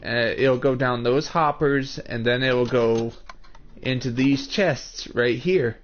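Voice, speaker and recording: A man's voice: medium pitch, slow pace. A man in his twenties giving casual commentary while streaming a building game, explaining each step and talking to chat viewers by name. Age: 20s. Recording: muffled lo-fi stream mic.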